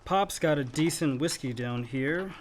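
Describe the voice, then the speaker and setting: Guy acting a dry loner american brother, slightly high voice, bit of noise behind, radio play. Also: a little slow, close to an everyday voice. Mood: wry, relaxed. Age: young adult. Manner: laconic, wry. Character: dry, self-contained solitary adult brother.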